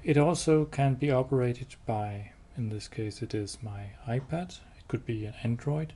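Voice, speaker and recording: A man giving a hands-on product demo indoors, explaining step by step in a halting, matter-of-fact way. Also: mid-range voice, dark tone. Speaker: product demonstrator. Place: indoors, showroom with wall-mounted devices. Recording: clear background noise.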